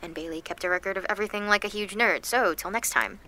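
A woman's voice: medium pitch, quick pace, sharp tone, slight background noise, natural voice. Woman acting a weary, wry teenage girl. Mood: somber, bitter. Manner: wry, sardonic, confessional. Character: anxious, weary seventeen-year-old girl with destructive superpowers. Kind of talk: audio drama confessional monologue.

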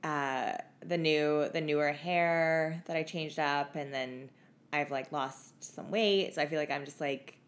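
A woman co-hosting a podcast, chatting casually with a voice raspy from a cough, laughing often as she tells stories. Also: low-pitched, steady tone, a little slow. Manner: laughs often, loose storytelling with many fillers. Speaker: podcast co-host. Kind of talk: casual chat.

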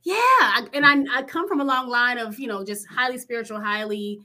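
A woman's voice: fairly high pitch, moderate pace, pushed and bright. A woman therapist chatting enthusiastically in a podcast conversation, full of fillers. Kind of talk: casual conversation.

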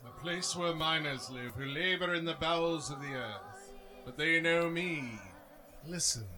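Stern ghostly spirit, acted by a man, high-pitched male, declamatory, scolding, radio drama. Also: a little slow, over music, close to an everyday voice. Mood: solemn. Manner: declamatory, rebuking. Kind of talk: theatrical radio play acting.

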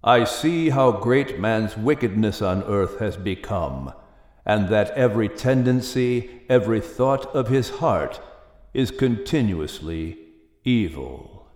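A man's voice: on the low side, animated, slow pace, a little mellow, echoey room, big-room sound, pitched below the performer's own voice. A man voicing God in an audiobook with a grave, solemn manner. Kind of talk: audiobook character reading.

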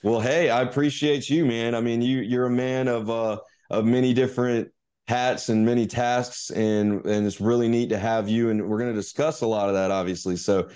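A man's voice: medium pitch, steady tone, moderate pace, darkish tone, clear, resonant tone. A man hosting a business podcast, opening with a scripted upbeat intro and then chatting casually with a guest, with fillers. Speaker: podcast host. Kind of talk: casual interview chat.

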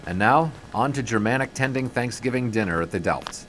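An actor playing an affable, wry American newspaper sports writer of the 1920s in a period audio drama, with jaunty, wordy phrasing. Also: male voice, mid-range voice, animated, a little fast, a bit bright, slight background noise, lightly acted. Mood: wry storytelling.

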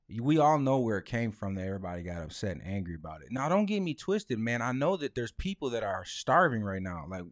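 A man's voice: medium pitch, no echo. A man in his late thirties chatting casually as a podcast co-host, blunt and emphatic, with slang and swearing. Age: late 30s.

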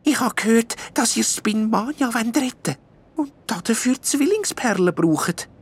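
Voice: mid-range voice, animated, unhurried, breathy edge, pitched above the performer's own voice. A storyteller voicing a shy purple elf who explains things hesitantly but eagerly.

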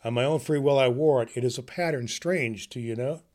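A solemn, weary ghost dragging his chains, as a man reading a storybook puts him on. He speaks in a heavy, mournful tone that drops quiet on the sadder lines. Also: medium pitch, a little fast, natural voice.

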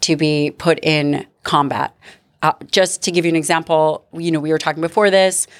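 A woman hosting an interview, asking measured, probing questions. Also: sits low, steady tone. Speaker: podcast host, interviewer.

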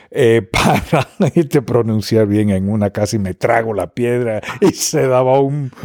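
Dominican man, veteran radio voice, slow and mellow, frequent pauses. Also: medium pitch, no room sound, studio quality. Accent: Dominican Spanish, mostly neutralized. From Dominican Republic. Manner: unhurried, many pauses and fillers. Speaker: radio broadcaster, interview guest. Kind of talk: reflective interview answers.

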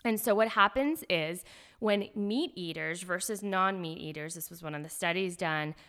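A woman's voice: fairly low pitch, normal speed, fairly bright tone. A woman doctor, a guest on an interview, explaining medical terms step by step in an earnest way.

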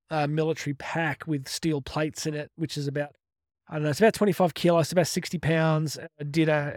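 Energetic man in his fifties. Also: a little high, no echo, clean studio sound. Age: 50s. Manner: energetic, conversational, repeats words when restarting. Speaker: motivational speaker. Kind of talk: solo motivational podcast monologue.

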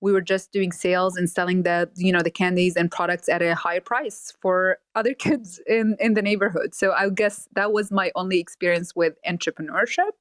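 A woman's voice: lowish voice, steady tone, a little fast, few pauses, no room sound. A woman from Nazareth, a business consultant, answering interview questions in a conversational way with frequent fillers.

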